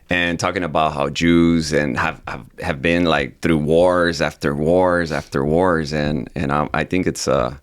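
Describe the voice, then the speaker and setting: A man hosting a podcast in a casual, halting way, often repeating his words. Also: a bass voice. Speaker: podcast host. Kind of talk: casual chat.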